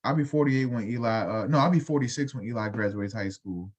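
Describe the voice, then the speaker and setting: A man's voice: medium pitch, fast, darkish tone, slightly rough, highs cut off. A man in his thirties co-hosting a casual talk show, rambling loosely with lots of fillers. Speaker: podcast co-host. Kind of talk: casual podcast chat.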